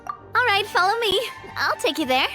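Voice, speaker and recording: A spirited young woman leader from a video game, speaking in a high, animated voice. She sounds earnest, breezy and friendly, with music playing behind her. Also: moderate pace. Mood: upbeat.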